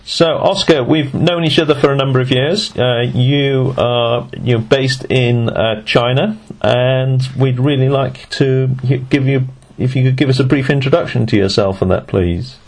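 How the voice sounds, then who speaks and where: A man's voice: mid-range voice, measured pace. A man hosting a podcast interview over a voice call app, rambling and often restarting his phrases. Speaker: podcast host.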